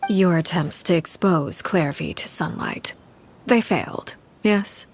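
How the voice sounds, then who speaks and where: An actress voicing a cold, composed woman who rules a household of children, speaking in a measured, formal, quietly menacing way. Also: fairly low pitch.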